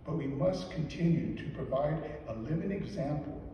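An older man delivers a homily in a mellow, dark voice, earnest and deliberate. He is heard from far back in a large church, with long echoing reverb and faint room hiss behind him. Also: a little high. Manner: measured, earnest, slow deliberate emphasis. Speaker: deacon or priest giving a homily. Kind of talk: weekday homily. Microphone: lectern mic, filmed from the back pews.

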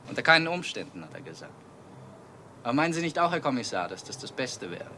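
A man's voice: mid-range voice, big pitch swings, quick pace, sharp tone. An actor playing a rich businessman's talkative, eager nephew in a German crime radio play. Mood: insistent. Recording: old radio play with faint noise.

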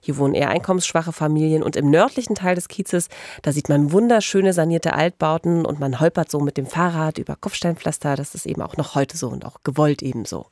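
A woman's voice: sits low, brisk, darkish tone, dry recording, studio quality. A woman co-hosting a true-crime podcast, telling and asking about a case. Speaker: podcast co-host, journalist.

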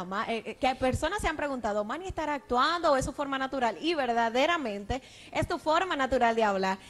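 A young woman co-hosting a TV interview, asking polite, formal questions into a handheld mic. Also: sits high. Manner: polite, formal questions with long lead-ins. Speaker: co-host. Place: TV studio.

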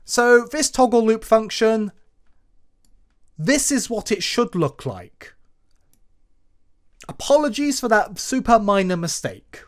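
Screencast tutorial presenter, informal and explanatory, very animated intonation. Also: medium pitch, slow, long pauses. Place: voice-over for a screen recording.

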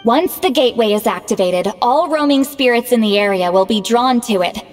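A woman playing a proud, youthful-sounding shaman in an anime game, lecturing in a brisk, exasperated manner. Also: fairly high pitch, lively intonation, lightly acted. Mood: confident, instructive. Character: proud, bossy, youthful-sounding female shaman called Granny. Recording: long reverb, music behind.

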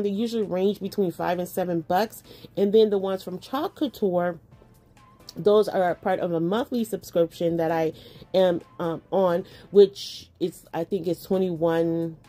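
Chatty woman with a slightly raspy voice. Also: mid-range voice, dark tone. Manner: chatty, affectionate, laughs often. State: recovering from illness, dry cough. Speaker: craft channel host. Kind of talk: casual voice-over while crafting. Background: faint background music.

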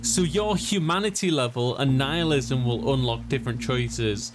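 A man's voice: medium pitch, normal speed, noisy background. A man streaming a game, giving offhand let's play commentary and brief reactions. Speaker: gaming streamer.

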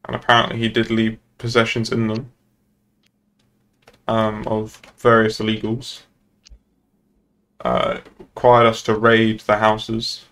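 A man's voice: fairly low pitch, a bit bright. A man speaking formally and procedurally in improvised police roleplay dialogue. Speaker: roleplay player as police officer. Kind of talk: improvised in-character game dialogue.

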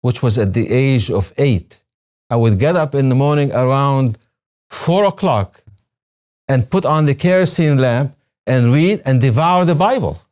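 A man over sixty with a soft-edged, mellow voice and a Syrian Arabic accent. A native Arabic speaker, he shares personal recollections as a guest in a studio interview. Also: medium pitch. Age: 60s or older. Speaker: interview guest, retired religion professor. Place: television studio, seated at a desk. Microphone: lapel mic.

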